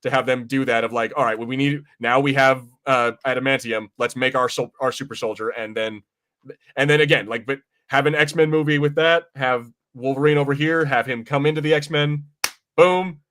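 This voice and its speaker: A man's voice: mid-range voice, steady tone, moderate pace, fairly bright tone. A man co-hosting a podcast, discussing films and comics in a casual, rambling way with some swearing. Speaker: podcast co-host.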